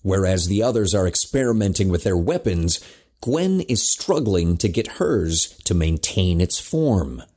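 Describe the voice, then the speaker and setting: Casting: male story narrator, low and husky, slow, expressive scripted read.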